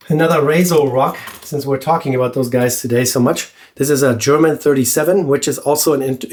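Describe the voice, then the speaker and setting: A man with a blocked-up nose talks in a nasal, congested voice as he reviews shaving gear. He speaks casually and easily, with lots of fillers and restarts. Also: mid-range voice. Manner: relaxed, chatty, with many fillers and restarts. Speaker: shaving channel host. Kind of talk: casual product review vlog.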